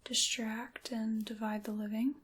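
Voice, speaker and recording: A woman playing a sinister, seductive dark witch in an ASMR roleplay, whispering and speaking softly in a slow, theatrical, hushed manner close to the microphone. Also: on the high side, flat delivery, heavy background noise, natural voice. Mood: hushed, savoring. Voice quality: breathy, whispery. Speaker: ASMR performer.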